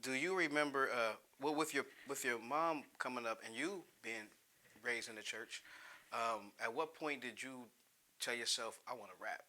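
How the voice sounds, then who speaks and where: A man hosting an interview, asking questions haltingly with many restarts and trailing off quietly. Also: medium pitch. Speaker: interview host. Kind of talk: casual interview chat.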